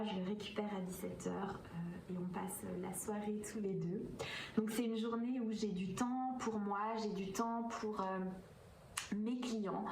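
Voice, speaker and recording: A woman's voice: medium pitch, darkish tone. Swiss French woman, roomy and distant. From Switzerland. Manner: hesitant, many filler sounds, enthusiastic. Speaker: vlogger and coach. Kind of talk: casual vlog talk to camera. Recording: roomy echo, from a distance, faint background hiss.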